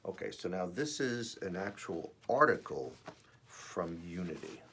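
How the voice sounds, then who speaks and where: A man with a raspy, slightly under-the-weather voice, reading and reflecting as host of an earnest spiritual message, with deliberate pauses. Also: sits low, lively intonation, a bit bright, slight background noise. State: voice rough while recovering from feeling unwell. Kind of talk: devotional reading and personal reflection.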